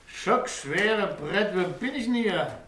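An actor playing a chatty, exclamatory, flirtatious waiter from Saxony in a radio drama, with a Saxon accent. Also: male voice, sits high, expressive, takes it slowly, noisy background. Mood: bewildered chatty. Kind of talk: 1960s German radio drama acting.

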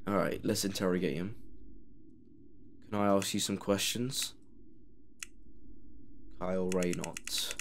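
Sarcastic man narrating a game. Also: fairly low pitch. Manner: sarcastic, mocking the game as he plays. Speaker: gamer playing a horror game. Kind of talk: let's play commentary.

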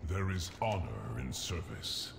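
A man voicing a solemn, wise, sorrowful dragonkin elder for a video game, speaking gravely and earnestly. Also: low-pitched, very animated, unhurried, noisy background, full character voice. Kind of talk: video game character acting. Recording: loud game music behind.

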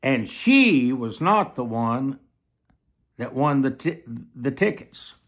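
An elderly man preaching to the camera in an emphatic, deliberate manner, often repeating phrases for weight. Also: medium pitch, very animated, fairly bright tone. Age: 70s. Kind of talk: sermon to camera. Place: small plain room indoors.

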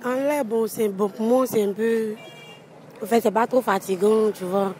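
A young woman speaks into an interviewer's handheld mic on an outdoor market street, her voice a little high. She talks loosely and exclaims as she answers. Faint traffic hums in the background. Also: fairly even intonation, normal speed, darkish tone. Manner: giggly, exclaiming, loose talk. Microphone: handheld mic held by interviewer.

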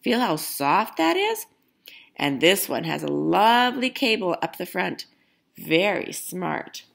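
A woman voicing an unhurried, smooth-talking male menswear salesman with deliberate, drawn-out sales patter. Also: fairly low pitch, very animated, a bit bright, heavily acted. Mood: persuasive.

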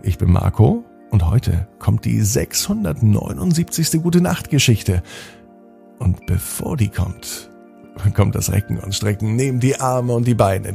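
A man reads a bedtime story to children in a gentle, unhurried way. His voice is gravelly and dark, with a slightly husky edge. Faint music plays underneath. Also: a little high. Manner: gentle, slow storytelling addressed to kids. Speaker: podcast host and storyteller.

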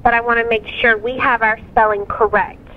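A woman's voice: on the high side, level tone, moderate pace. A woman telemarketer on a sales call, questioning insistently with an exasperated manner over a phone line. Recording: tinny phone line, faint noise.

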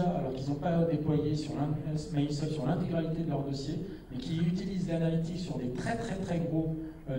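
Man on a dark echoey stage, handheld mic. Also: fairly high pitch, far from the mic, slight background noise. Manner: brisk, with many "euh" hesitations. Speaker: keynote presenter. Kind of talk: product keynote presentation. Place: large dark stage with a big screen. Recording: echoey hall, voice from afar.

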